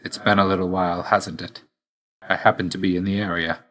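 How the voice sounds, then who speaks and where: A video game god of death with a low voice and a hard, forceful edge. He is grim and reserved, and he speaks in terse, solemn phrases. A dry loyalty sits under the sternness. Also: flat delivery, a little fast. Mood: reserved, wry. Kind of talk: scripted video game voice lines.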